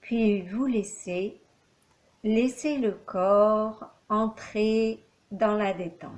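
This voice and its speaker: Very slow woman guiding deep relaxation. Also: dull audio. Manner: calm, measured instructions in short phrases with long pauses. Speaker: yoga nidra instructor. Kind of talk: guided relaxation meditation.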